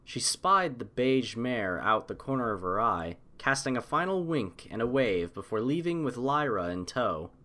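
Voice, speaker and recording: Guy narrating an audiobook story, medium pitch, really animated intonation. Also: normal speed, few pauses. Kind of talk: expressive scripted story narration.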